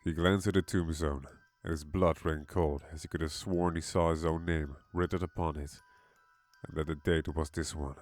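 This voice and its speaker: A man with a low voice narrates a scripted horror tale. The recording is dry, and music plays softly behind him. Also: steady tone, normal speed, dry recording.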